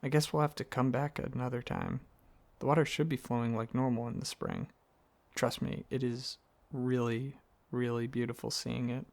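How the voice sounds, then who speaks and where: A man playing a gentle, affectionate dragon boyfriend in an intimate ASMR roleplay, speaking softly and earnestly with some hesitant rambling. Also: medium pitch, level tone, normal speed, lightly acted. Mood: relieved, warm, then wistful, serene. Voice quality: soft, husky, slightly gravelly, breathy.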